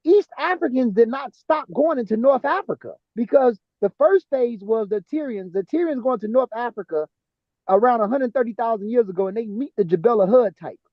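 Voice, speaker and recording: Podcast co-host, male, high voice, eager explainer, dry close mic, muffled lo-fi sound. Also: fairly quick, dry recording. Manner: excited, talks through genetic terms with restarts. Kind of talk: casual discussion of genetic ancestry.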